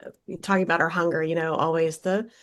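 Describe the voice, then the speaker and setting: Slightly low-pitched woman chatting casually. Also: little pitch movement, fast talker. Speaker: voice actor on an audio drama. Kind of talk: casual chat, reminiscing.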